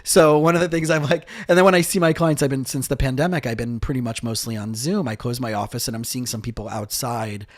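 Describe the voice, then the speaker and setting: A man's voice: medium pitch, quick pace, hardly any pauses, no room sound, studio quality. A man hosting a podcast interview in an upbeat, enthusiastic way, often repeating his words. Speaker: podcast host.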